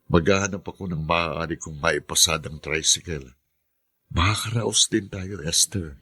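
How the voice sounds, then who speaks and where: A man's voice: low-pitched, a little slow, bright, forceful tone, husky, full character voice. A man playing a kindly, struggling working father who keeps going, in a radio drama. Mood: worried, resolute. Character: struggling, kindly tricycle-driver father, persevering.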